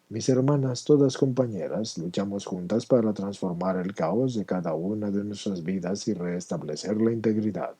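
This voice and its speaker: A man's voice: fairly low pitch, warm tone, slightly rough. A man reading a personal testimony aloud as scripted narration.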